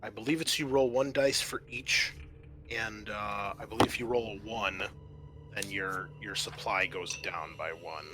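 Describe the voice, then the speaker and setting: A man's voice: on the low side, steady tone, measured pace, background noise. A man acting as game master, explaining a scenario and thinking aloud as he recalls the rules.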